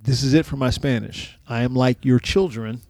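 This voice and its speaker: Gravelly man telling a drawn-out anecdote. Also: mid-range voice, darkish tone, dry recording. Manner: gravelly, drawling anecdotes with asides. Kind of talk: casual chat.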